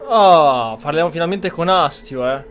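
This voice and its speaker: A man hosts a game playthrough with casual commentary. His voice is somewhat high for a man. Soft music can be heard behind him. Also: normal speed. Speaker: gamer, channel host.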